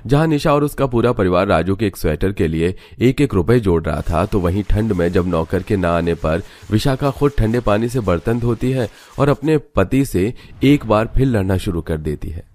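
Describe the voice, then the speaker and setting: A man's voice: on the low side, animated, moderate pace, hardly any pauses, darkish tone, soft background music. A man reading scripted narration for a children's moral tale as the storyteller.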